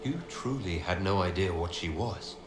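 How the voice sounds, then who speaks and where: A man's voice: sits low, lively intonation, normal speed. A man voicing a skeptical, direct heroic leader in a video game, speaking in a probing way. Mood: skeptical, questioning. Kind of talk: dramatic video game dialogue. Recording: distant, echoey, noisy game scene capture.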